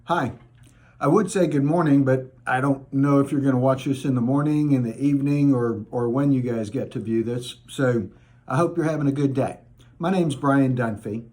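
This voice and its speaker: A man's voice: medium pitch, unhurried, a little mellow. A middle-aged man talking to teenagers in a friendly, conversational way about the mentors in his life. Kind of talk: informal talk to teenagers.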